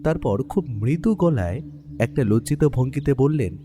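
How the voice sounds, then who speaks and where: A man playing a detective's observant writer companion, narrating a mystery in the first person with expressive, literary storytelling. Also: mid-range voice, normal speed, noisy background, close to an everyday voice. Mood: reflective, suspenseful. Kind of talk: scripted dramatic story narration. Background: clear music bed.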